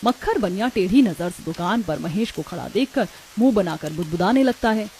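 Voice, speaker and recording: A woman's voice: medium pitch, animated, normal speed, warm tone, slight background noise. A woman giving scripted, dramatic storytelling narration for a moral tale.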